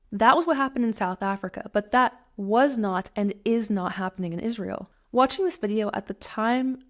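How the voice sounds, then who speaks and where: A young American woman narrating a scripted personal essay in an earnest, emphatic, measured way. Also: medium pitch. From United States. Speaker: commentator.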